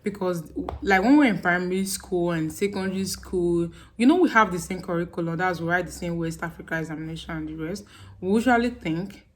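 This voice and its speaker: A woman's voice: on the low side, a little fast. A young woman with a Nigerian accent, chatting casually to camera on her vlog. She acts out her friends' questions with laughter. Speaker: vlogger.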